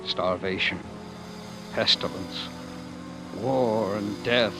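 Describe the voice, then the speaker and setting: An actor playing a weary, haunted man in a grave, halting 1940s radio drama monologue, on an old broadcast recording. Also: on the low side, over music. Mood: anguished, bleak. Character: weary, haunted man narrating a dying world.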